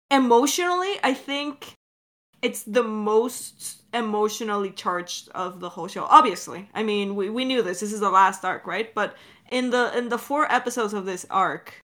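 A woman's voice: mid-range voice, expressive, measured pace, fairly bright tone. A woman hosting a casual Q&A as a director, effusive and very informal in the way she talks. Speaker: director and Q&A host. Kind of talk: casual chat.

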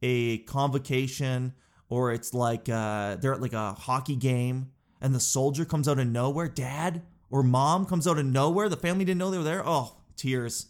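A man's voice: medium pitch, moderate pace. Stuffed-up, chatty man. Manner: chatty, self-mocking, says dude a lot. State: stuffed up from crying. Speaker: vlogger. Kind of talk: casual chat to camera.

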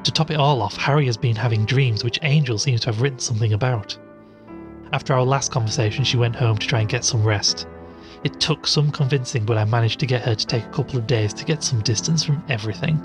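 A man's voice: mid-range voice, quick pace, over music, natural voice. A man playing an inquisitive, cautious podcaster narrating an eerie investigation in an audio drama. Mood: uneasy, reflective. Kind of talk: first-person audio drama narration and dialogue.

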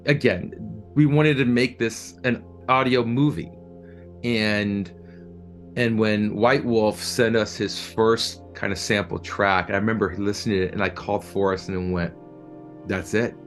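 A man's voice: on the low side, fairly even intonation, measured pace, soft background music. A man being interviewed as a producer, talking earnestly and enthusiastically, often repeating words as he searches for his phrasing. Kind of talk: reflective interview talk.

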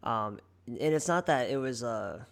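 A man's voice: mid-range voice, lively intonation, a little slow, vocal fry. A young man with a somewhat nasal voice, hosting his own podcast and talking casually and earnestly, with frequent ums and restarts. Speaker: podcast host and online fitness coach. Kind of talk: casual solo podcast monologue.

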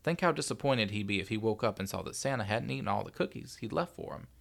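Man playing a wisecracking, grumbling dad, bright voice. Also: on the low side, animated, fast, creaky. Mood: joking. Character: grumbling, wisecracking husband and father, adult man.

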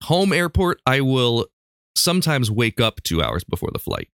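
A man's voice: medium pitch, very animated, moderate pace, a bit bright, clear, resonant tone, no echo, clean studio sound. An American man in his forties telling personal stories casually as a podcast host. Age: 40s. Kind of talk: casual conversational storytelling.

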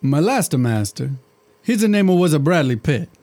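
Man voicing a lively fatherly Italian genie chef, comic audio drama, slightly high. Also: unhurried. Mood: jovial. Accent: put-on Italian. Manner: warm broken English, fatherly. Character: jolly Italian male genie cook. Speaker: voice actor.

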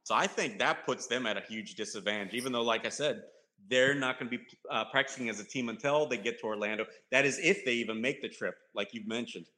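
A man's voice: medium pitch, fast, pushed and bright. A man hosting a casual sports podcast, conversational and putting questions to the others. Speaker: podcast host.

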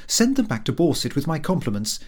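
A man's voice: on the high side, huge pitch swings, a little fast. A man voicing a prim, pompous, middle-aged Victorian city clerk reading his diary. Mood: affronted, dignified. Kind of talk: audiobook narration of a comic diary.

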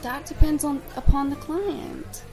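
An anxious, devoted fiancée speaks in a high voice in an audio drama. She is hesitant and evasive, as if guarding a secret. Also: huge pitch swings, a little slow, vocal fry, music in the background, full character voice. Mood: evasive, guarded. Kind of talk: scripted audio drama acting.

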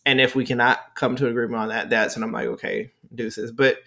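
A man's voice: mid-range voice, flat delivery, brisk, gravelly, pushed and bright. A man co-hosting a casual podcast chat, talking in a blunt, dry and unbothered way.

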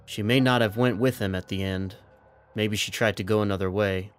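A man playing a stranded young army corporal in an audio drama, resigned and stoic, measured and wry. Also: fairly low pitch, fairly even intonation, close to an everyday voice. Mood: curious, sober. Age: young adult. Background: background music.

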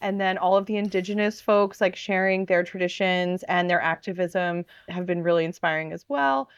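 A woman's voice: lowish voice, moderate pace, clear, resonant tone, no echo, dull audio. A woman in her thirties from northern New Jersey, a podcast guest who talks in a chatty, self-deprecating way and laughs often. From northern New Jersey, USA. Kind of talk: casual podcast interview.

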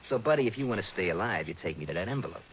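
A tough, low-pitched private investigator, talking in punchy, hard-boiled slang. The sound is a muffled vintage radio broadcast with some hiss. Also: male voice, expressive, very fast. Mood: tough, threatening. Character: tough, wisecracking male insurance investigator. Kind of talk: 1960s radio detective drama acting.

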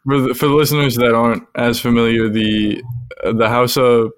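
A man's voice: mid-range voice, level tone, normal speed, clean tone, no room sound, clean studio sound. A man hosting a podcast, asking curious and slightly hesitant questions with fillers. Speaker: podcast host. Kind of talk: interviewing a guest.

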